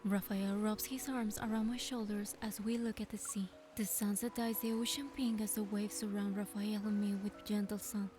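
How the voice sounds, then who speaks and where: An actress playing a gentle, affectionate young heroine, sweet and wistful, speaking and narrating in a romance game. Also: female voice, medium pitch, level tone, moderate pace, few pauses, music in the background, lightly acted. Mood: soft, dreamy. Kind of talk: romance game voice acting and first-person narration.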